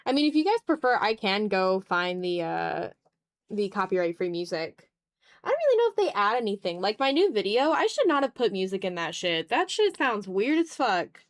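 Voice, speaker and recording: A woman's voice: medium pitch, no echo, studio quality. A tired woman chatting casually on an art livestream while she draws, self-deprecating and often trailing off into muttering to herself.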